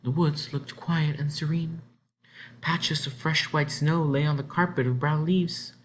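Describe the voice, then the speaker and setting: A man narrating a children's book aloud in an expressive storytelling style, with dramatic phrasing and drawn-out words. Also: fairly high pitch, slight background noise.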